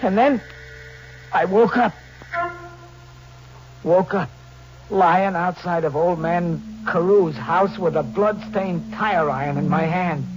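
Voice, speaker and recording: An actor playing a hunted, vengeful drifter in an old radio thriller, talking in tough slang with melodramatic, haunted delivery. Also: male voice, high voice, slow pace, long pauses. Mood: haunted, frantic. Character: hunted, desperate, vengeful male drifter on the run. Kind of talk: 1940s radio thriller acting with first-person narration. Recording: noisy, muffled old radio recording with hum.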